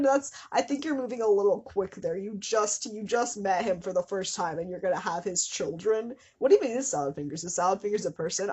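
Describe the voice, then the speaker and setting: A woman's voice: medium pitch, a little mellow, slightly rough. A woman hosting a comedy show, reading fan fiction dramatically with incredulous, giggly asides. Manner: breaks into giggles and incredulous commentary.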